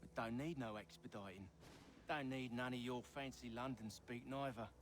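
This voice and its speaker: A man playing a blunt, cocky rogue with rough ungrammatical speech in a video game. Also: medium pitch, moderate pace, natural voice. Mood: surly. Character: cocky, surly working-class rogue. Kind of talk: video game character acting.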